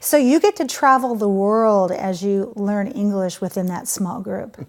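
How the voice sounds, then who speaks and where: Woman, talk-show host, effusive, animated, asks questions. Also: medium pitch, unhurried. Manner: effusive, warm praise, animated. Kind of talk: interview.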